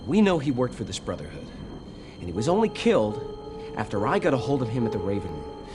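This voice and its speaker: A man plays a young American federal agent for a video game cutscene. He is earnest and stubborn, deferential yet insistent, in a mid-pitched voice. Music plays clearly underneath. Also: sing-song, brisk, lightly acted. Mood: earnest, hesitant. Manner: hesitant, pleading, deferential. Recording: game dialogue over background music.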